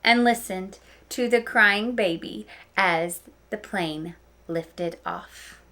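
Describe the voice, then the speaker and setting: A woman teacher reading a picture book aloud to children, with animated emphasis and chatty explaining asides. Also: mid-range voice, slow pace.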